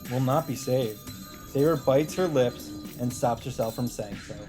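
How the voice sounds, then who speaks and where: A man's voice: mid-range voice, normal speed. A man reading a visual novel aloud as a let's player, in dramatic narration. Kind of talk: dramatic read-aloud narration with casual asides. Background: game music.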